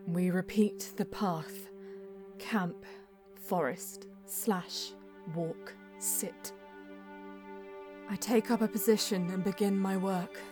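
A woman playing a solemn Eldar bonesinger who narrates her quest in a measured, poetic way in an audio drama. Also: mid-range voice, steady tone, close to an everyday voice. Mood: weary, determined. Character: female Eldar bonesinger, solemn, mystical, easily frustrated seeker. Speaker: narrator and lead character. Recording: music under the voice. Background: background music.

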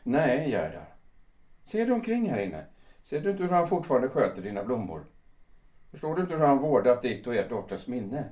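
A man's voice: mid-range voice, big pitch swings, darkish tone, natural voice. A male actor plays a composed lawyer in his late fifties in a period radio drama. His mid-range voice is formal and protective, pressing with questions. The room around him rings noticeably. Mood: reassuring, insistent. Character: composed, paternal, insistent lawyer of 58, protective brother. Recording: echoey room sound.

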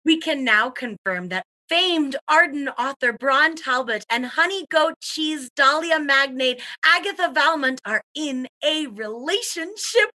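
A woman acting as an eager radio co-host in an audio comedy. Her voice is high and bright, and she talks theatrically, like an insistent, knowing gossip. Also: animated, a little slow, few pauses, heavily acted. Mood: gossipy.

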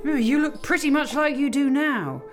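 An actress playing an affectionate, teasing wife in an audio drama, solicitous and wryly probing. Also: female voice, high voice, lively intonation, moderate pace, soft background music. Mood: needling.

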